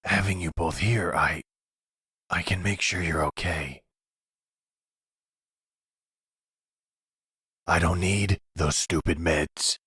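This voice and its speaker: Man acting a very deep, husky mafia boss. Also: steady tone, pushed and bright, no room sound, clean studio sound, full character voice. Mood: defensive. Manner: slow, menacingly tender, condescending. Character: possessive, delusional middle-aged male mafia boss and husband. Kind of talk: ASMR roleplay acting.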